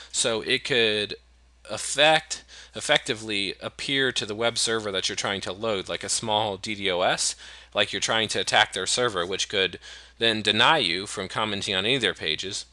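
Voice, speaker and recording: A man from the United States walking through software settings in a relaxed, explanatory way, with frequent fillers and restarts. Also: on the low side, no room sound. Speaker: software tutorial presenter. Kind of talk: screen-recorded software walkthrough.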